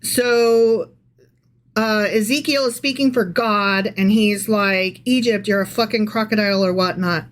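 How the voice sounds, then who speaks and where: A woman's voice: mid-range voice, steady tone, a little slow. A woman co-hosting a casual podcast, talking bluntly and emphatically and swearing freely. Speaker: podcast co-host. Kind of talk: casual, profane podcast banter and Bible read-aloud with commentary.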